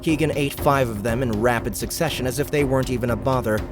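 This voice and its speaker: A man narrating a game-world audiobook in a steady, measured storytelling voice. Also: medium pitch. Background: background music.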